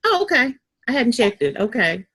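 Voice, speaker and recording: A woman's voice: fairly high pitch, very animated, moderate pace, fairly bright tone, husky. A woman student introducing herself on a video call, cheerful and giggly.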